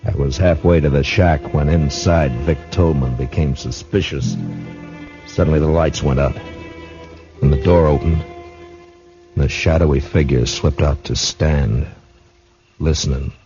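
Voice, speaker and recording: An actor playing a stern frontier marshal who also narrates, in a 1950s radio drama, speaking in a measured, grave way. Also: male voice, rumbling low, steady tone, music in the background. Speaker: narrator and lead. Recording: dull vintage radio recording.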